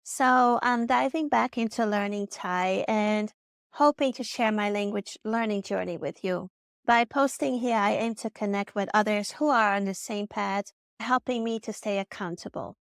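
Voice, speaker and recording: A woman's voice: medium pitch, steady tone, moderate pace, clear, resonant tone, no echo, studio quality. A woman from the Netherlands with a Dutch accent, narrating a personal vlog in an earnest, reflective way. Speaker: vlogger.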